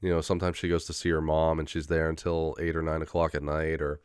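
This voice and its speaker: A man's voice: rumbling low, completely flat delivery, quick pace, darkish tone, clear, resonant tone, no echo, clean studio sound. A young man in a casual rambling monologue, full of fillers, restarts and swearing, getting over a head cold with an occasional cough. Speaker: vlogger.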